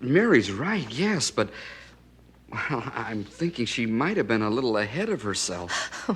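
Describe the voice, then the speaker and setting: A man's voice: medium pitch, very animated, unhurried, fairly bright tone, a little hiss or hum. An actor playing an earnest, flustered young husband and expectant father in a period audio drama, stammering a little. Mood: tender, hesitant. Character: excitable, devoted young husband and expectant first-time father.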